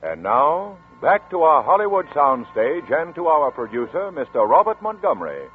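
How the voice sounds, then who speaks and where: Lively 1940s male radio announcer. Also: on the high side, normal speed, soft background music. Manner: polished, lively announcer cadence. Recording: tinny lo-fi 1940s radio broadcast.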